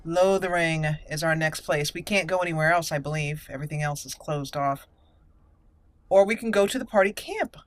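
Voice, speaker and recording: A woman's voice: low voice, moderate pace, no room sound. A woman hosting a roleplay let's play, chatting casually and playfully as she thinks aloud. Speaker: game roleplay channel host.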